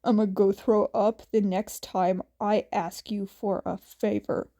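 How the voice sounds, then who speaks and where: Woman acting blunt young man, dry, curt, slow-paced, audiobook-style story dialogue. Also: medium pitch, fairly even intonation, warm tone, pitched below the performer's own voice, natural voice. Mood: grumbling. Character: blunt, sarcastic young man, weary big brother.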